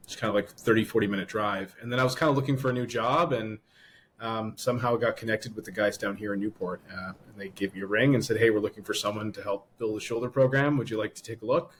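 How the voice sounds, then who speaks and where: A man's voice: lowish voice, a bit bright, slight background noise. A man from Canada, a surgeon and podcast guest, chatting casually over a video call with lots of ums and laughs. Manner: laughs often, hesitant with many ums.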